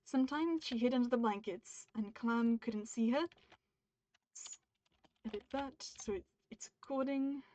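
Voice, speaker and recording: A woman's voice: a little high, a little slow, mellow. A woman from the UK streaming her writing session, reading her draft aloud and stopping mid-sentence to make edits and chat with viewers. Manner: upbeat and friendly with viewers. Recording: dull, muffled stream mic.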